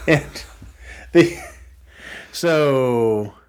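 A man's voice: medium pitch, lively intonation, very slow, smooth. A man co-hosting a podcast in casual chat, rambling and restarting his sentences, sitting a little back from his mic. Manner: rambling, restarts a lot, laughs at his own jokes. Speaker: podcast co-host. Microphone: studio mic, sitting a bit back from it.

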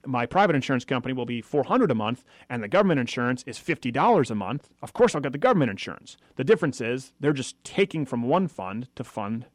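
A young adult man hosting a political talk radio show, talking fast and argumentatively with frequent asides. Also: medium pitch, sing-song, no room sound, clean studio sound. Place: radio studio.

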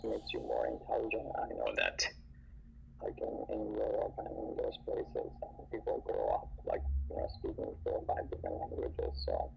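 A man's voice: fairly low pitch, gravelly, fairly bright tone. A man in casual chat, hesitant and full of fillers and restarts, who grew up bilingual and learned English after another language. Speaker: listener joining a live audio discussion. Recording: dull lo-fi online audio with faint noise.

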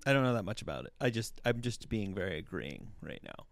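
Gravelly man rambling on a podcast. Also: low-pitched, quick pace. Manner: relaxed, rambling with many fillers. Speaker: podcast co-host, comedian. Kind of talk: casual podcast chat. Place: small podcast studio. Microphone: studio microphone.

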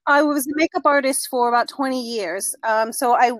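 Woman, 40s, slightly high voice, brisk and matter-of-fact, dry close sound. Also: fairly bright tone, no room sound. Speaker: podcast guest. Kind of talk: casual interview chat.

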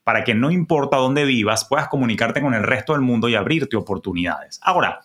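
A man with a Venezuelan accent hosting a personal finance podcast, talking in a lively, colloquial, playful way. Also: mid-range voice, fairly quick. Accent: Venezuelan Spanish. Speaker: podcast host.

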